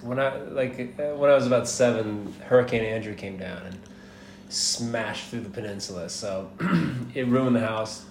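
American guy, mid thirties, low-ish voice, rambly and easygoing, echoey room with noise behind. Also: moderate pace. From United States, born in Miami, raised in Atlanta. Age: 30s. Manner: laid-back, rambling, laughs often, swears casually. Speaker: guest. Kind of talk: casual storytelling interview. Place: hostel. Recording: roomy echo with clear background noise.

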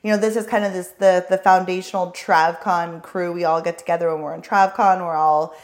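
A woman in her thirties co-hosting a podcast while hoarse from losing her voice, chatting casually and laughing often. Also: on the low side, fairly even intonation, a little fast. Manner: laughs often, rambling and self-correcting. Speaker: podcast co-host. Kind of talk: casual chat.

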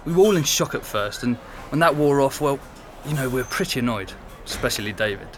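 An actor playing a sociable young former housemate, chatty and frank, in a naturalistic audio drama. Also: male voice, mid-range voice, animated, fast talker, fairly bright tone, lightly acted. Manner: chatty, conversational. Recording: faint pub background noise.

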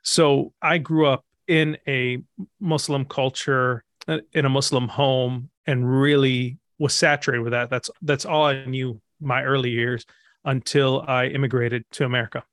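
A man's voice: medium pitch, flat delivery, measured pace, fairly bright tone, no echo. Reflective man in dry audio. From Egypt. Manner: reflective, thinks aloud with fillers and restarts. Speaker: pastor and author guest. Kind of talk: interview answers.

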